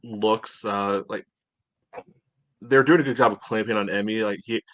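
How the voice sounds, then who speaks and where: A man co-hosting a soccer podcast, breaking down a match in casual, halting talk. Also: lowish voice, steady tone, a bit bright, dry recording, poor audio. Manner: halting, peppers talk with "you know". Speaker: soccer podcast co-host and analyst.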